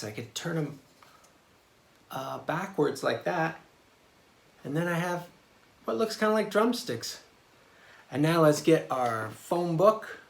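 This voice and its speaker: A man giving a casual, hands-on drum lesson for children, talking in a halting, exploratory way. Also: mid-range voice, gravelly. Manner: halting, exploratory, sounding out rhythms with his mouth. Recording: roomy echo, loud clattering percussion noise. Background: banging on household objects.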